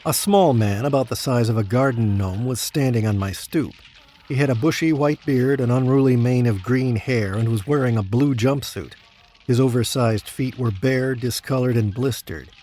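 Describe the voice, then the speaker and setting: A male actor plays a self-important dead man who has just arrived in Hell. His voice is husky and rough-edged. He narrates and argues in a haughty, complaining way, as in an audio drama. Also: mid-range voice, lively intonation, normal speed, dark tone, lightly acted. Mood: self-important narrating. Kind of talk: first-person audio drama narration and dialogue.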